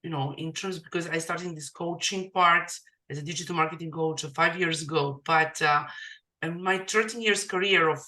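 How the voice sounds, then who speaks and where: A woman speaking English as a second language, a coach talking in a casual podcast conversation, halting with many restarts. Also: deep voice, sharp tone. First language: non-English.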